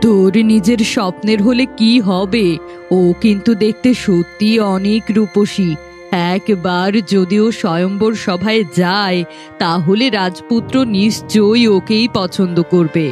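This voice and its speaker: A woman's voice: medium pitch, fairly even intonation, measured pace, a little mellow, soft background music, natural voice. A woman voicing a cruel, bossy middle-aged village stepmother in a cartoon, scornful and scolding. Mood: scheming. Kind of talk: cartoon voice acting.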